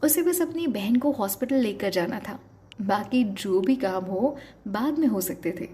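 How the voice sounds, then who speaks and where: A woman's voice: mid-range voice, expressive, measured pace, mellow. A woman narrating an emotional audio story as a storyteller. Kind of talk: dramatic story narration with acted dialogue.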